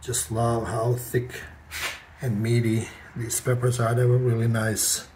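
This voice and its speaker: Middle aged croatian-born guy chatting about peppers, mid pitch, faint hiss in room. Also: monotone, unhurried, roomy sound. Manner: easygoing, enthusiastic, filler-heavy. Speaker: gardening channel host. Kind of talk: casual how-to demonstration.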